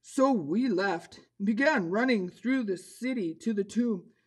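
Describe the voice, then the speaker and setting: A bold, eager disciple in a children's puppet show, played by a woman. The voice sits at a middle female pitch, with earnest, expressive storytelling. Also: a little slow, husky.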